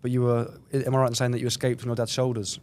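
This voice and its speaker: Very fast-talking male co-host. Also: medium pitch, little pitch movement, darkish tone, a little hiss or hum. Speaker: podcast co-host. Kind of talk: casual podcast chat.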